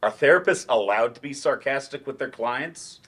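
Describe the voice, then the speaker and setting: A man's voice: mid-range voice, animated, moderate pace, a bit bright, breathy edge. A man reading the part of a dejected, sarcastic writer turned teacher in a staged play, dry and wordy. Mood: sardonic. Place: library event room, staged reading.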